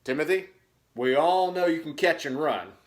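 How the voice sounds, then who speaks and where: A man's voice: a little high, normal speed, lightly acted. A man voicing an encouraging storybook football coach with an earnest, urging manner.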